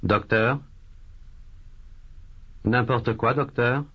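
A man voicing a patient who has a cold in a language course dialogue, speaking slowly and carefully, with repeated phrases. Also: medium pitch, steady tone, slightly rough. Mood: plaintive. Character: adult male patient with a cold. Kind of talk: scripted language drill dialogue. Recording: dull lo-fi course tape.

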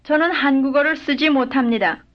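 High-voiced woman on an old drill tape. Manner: measured, careful full-sentence repetitions. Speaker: model speaker in a language drill. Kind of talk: recorded language-lesson drill responses. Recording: dull lo-fi old lesson tape.

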